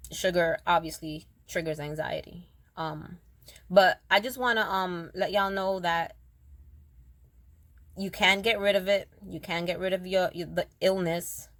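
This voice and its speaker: A woman with a naturally raspy voice, a little rougher than usual, speaking earnestly to her listeners and reciting her own spoken word poem. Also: on the low side, a bit bright, no echo. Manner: earnest, direct, addresses listeners as y'all. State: raspier than usual, throat slightly rough.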